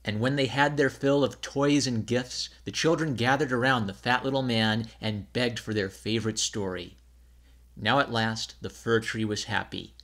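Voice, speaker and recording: Guy reading a kids storybook aloud, scripted narration, normal mid pitch. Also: fairly even intonation, moderate pace, a bit bright.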